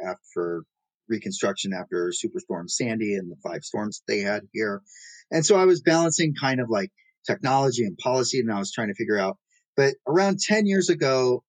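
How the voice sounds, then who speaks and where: A man's voice: fairly low pitch, no echo, low-quality recording. Guy founder rambling thru his career story, lots of you knows, dead dry mic. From Pacific Northwest. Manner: rambling, self-deprecating, many fillers and restarts. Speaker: startup founder and CEO. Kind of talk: casual interview storytelling. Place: New York City.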